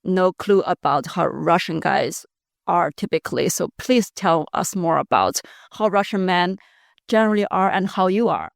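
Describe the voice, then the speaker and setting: Podcast host lady, asks straight questions, raspy fry in her voice, dry sound. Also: lowish voice, lively intonation, moderate pace, dry recording. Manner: curious, direct questioning. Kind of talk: casual interview conversation.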